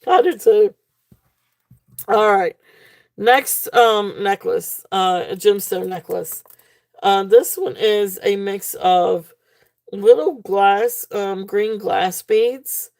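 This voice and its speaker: Woman, live sale host, mellow gravelly voice going hoarse, chatty with plenty of fillers. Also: mid-range voice, slow pace. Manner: chatty, fillers, reads comments aloud. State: losing her voice. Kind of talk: live auction selling chat.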